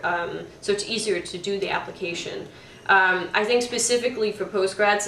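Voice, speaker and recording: A young woman from Denmark speaks casually and thoughtfully, pausing often and restarting her sentences. Her voice sits at an ordinary pitch and comes from a little way off the mic. The room around her is echoey, with a faint hiss in the background. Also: a bit bright, recorded from a distance. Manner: thoughtful, hesitant with many ums and restarts. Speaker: former student. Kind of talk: casual talk.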